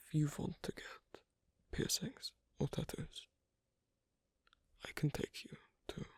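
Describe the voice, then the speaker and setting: Breathy, whispering asocial werewolf, acted out. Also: mid-range voice, no echo, exaggerated voice. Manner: halting, soft-spoken, hesitant. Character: asocial werewolf on a first date, guarded, shyly affectionate. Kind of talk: ASMR roleplay, one-sided intimate conversation.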